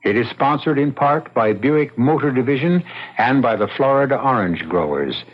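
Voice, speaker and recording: A man hosting an old-time radio mystery, narrating a script in a deliberate, dramatic way with pointed pauses. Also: medium pitch, animated. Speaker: host. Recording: dull lo-fi radio recording with music.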